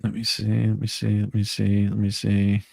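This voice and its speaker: A man's voice: lowish voice, completely flat delivery, normal speed, creaky, darkish tone, no room sound. A man hosting a livestream with casual chat and commentary, repeating phrases and trailing off mid-sentence as he rambles. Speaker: livestream host.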